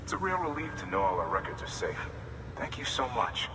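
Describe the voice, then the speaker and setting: An actor playing an effusive, grateful depot worker greeting a courier in a game cutscene. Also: male voice, mid-range voice, very animated, a little fast, lightly acted. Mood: pleased, relieved. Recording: echoey hall with clear background noise.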